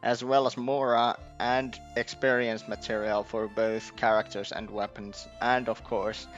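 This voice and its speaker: A man giving casual gameplay commentary, halting as he thinks aloud. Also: on the low side, level tone, dull audio. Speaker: video game streamer. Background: game music.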